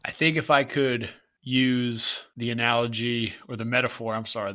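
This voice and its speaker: A man's voice: fairly low pitch, sharp tone. A man co-hosting a podcast, upbeat and playful, explaining ideas with metaphors while sounding a bit stuffy. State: congested and stuffy. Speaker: podcast co-host. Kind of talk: casual podcast chat.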